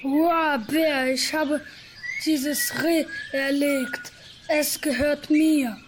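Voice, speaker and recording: A woman's voice: high-pitched, fairly even intonation, drawn-out words, noisy background. A young female playing a boastful, fierce lion in an amateur radio play, with birdsong behind. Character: boastful fierce lion claiming his prey.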